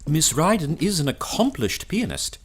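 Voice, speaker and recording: An actor playing a suave, formal gentleman in a period audio drama, quietly sly and assured with a wry manner. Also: on the high side, big pitch swings, moderate pace, slight background noise.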